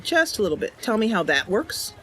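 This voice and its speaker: A woman streamer talks casually to her viewers, thinking aloud as she goes. Her pitch moves around a lot, and game music plays softly behind her. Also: very animated, brisk. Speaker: live streamer and channel host.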